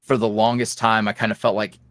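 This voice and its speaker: A man guest on a podcast, an ASMR roleplay creator, talking candidly in a rambling, filler-heavy way that swings from lively bursts to low mutters. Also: brisk, dry recording, studio quality. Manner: swings between animated highs and low mutters. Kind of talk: casual interview chat.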